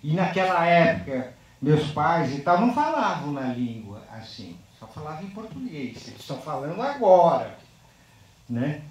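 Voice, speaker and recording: A man with a fairly high voice speaks after a film screening in a halting, thoughtful way, tagging his phrases with questions. He is far from the microphone. His words ring through a large, very echoey room. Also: very animated. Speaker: filmmaker speaking on a post-screening panel. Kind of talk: unscripted panel discussion.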